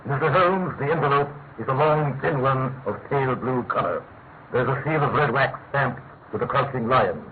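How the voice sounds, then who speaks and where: A narrow, phone-like vintage radio sound with faint noise frames an actor as a grave British Prime Minister. He is austere and commanding, weighing each formal phrase in a mid-pitched voice. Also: normal speed, close to an everyday voice. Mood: stiff, dignified. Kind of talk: classic radio drama acting. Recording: thin narrow-band old radio recording, faint noise.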